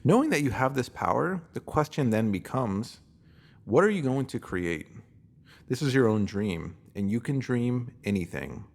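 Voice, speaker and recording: A man reading a scripted self-help piece as narrator, in a measured, instructive way like a guided meditation. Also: medium pitch, sing-song, creaky.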